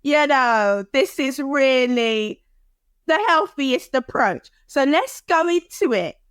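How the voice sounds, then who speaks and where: A woman co-hosting a podcast in casual chat, animated and quick to laugh, with dramatic asides. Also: high-pitched, no room sound. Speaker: podcast co-host.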